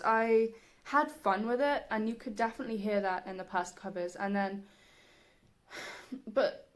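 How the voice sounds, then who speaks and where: Young adult female, soft and breathy, slow halting delivery, medium pitch, reflective personal vlog monologue. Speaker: singer, video creator.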